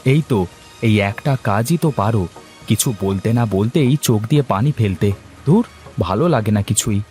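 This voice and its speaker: A man's voice: fairly low pitch, lively intonation, normal speed, darkish tone, soft background music, close to an everyday voice. A man voicing a blunt, grumbling young village husband in a cartoon. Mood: exasperated. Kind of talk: cartoon dialogue acting.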